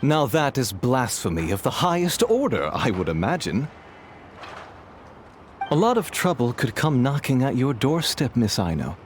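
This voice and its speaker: A man's voice: mid-range voice, sing-song, normal speed, close to an everyday voice. Man as a smug, insinuating merchant, swooping delivery. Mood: smug, threatening. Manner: formal, smoothly insinuating. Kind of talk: video game voice acting. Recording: clear background ambience noise.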